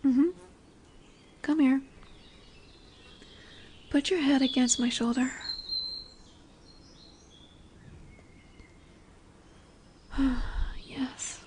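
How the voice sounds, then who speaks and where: Woman as a high, soft, commanding lamia. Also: fairly even intonation, very slow, a little hiss or hum. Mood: tender, possessive. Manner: soft, commanding, soothing, often dropping into whispers. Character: dominant, tender female lamia, snake-bodied forest monster. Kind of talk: scripted ASMR roleplay audio drama.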